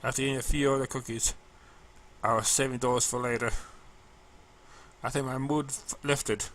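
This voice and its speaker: A man narrating amateur fan fiction in a halting read, now and then stumbling over words. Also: medium pitch, fairly bright tone. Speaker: story narrator.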